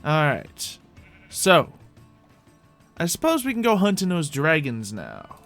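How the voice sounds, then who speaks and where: A man's voice: on the high side, huge pitch swings, slow pace, fairly bright tone, faint music behind. A man giving playful, improvised gameplay commentary as a host, thinking aloud to viewers while roleplaying. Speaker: gaming host playing a roleplay character.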